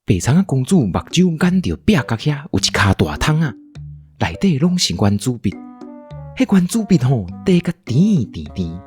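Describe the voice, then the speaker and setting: A man with a Taiwanese accent narrating a comic radio drama in a playful, expressive storytelling style. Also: medium pitch.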